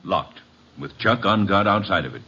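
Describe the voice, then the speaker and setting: An actor playing a guarded club owner under suspicion, with a cool, measured delivery in a radio drama. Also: male voice, sits low, expressive, sharp tone, slightly rough, heavily acted. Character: guarded, smooth-talking male club owner, murder suspect. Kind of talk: 1950s radio drama acting. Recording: muffled, noisy vintage radio recording.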